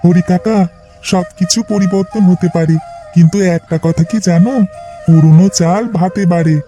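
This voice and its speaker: Cartoon grandson man acting: polite, knowing schoolboy, high voice, very dark mellow tone. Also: fairly even intonation, normal speed, faint music behind, lightly acted. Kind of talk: Bengali cartoon dialogue.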